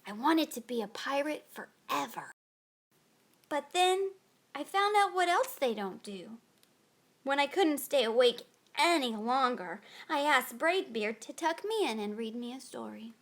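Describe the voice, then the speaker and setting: A woman reading a picture book aloud to children in a dramatic, expressive storytelling style. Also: sits high, unhurried.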